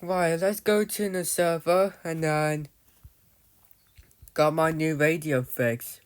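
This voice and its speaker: A man's voice: a little high, steady tone, slow pace, clean tone. A man giving casual, rambling commentary on his game, often losing his thread and calling out to viewers as "guys". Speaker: gamer narrating his play.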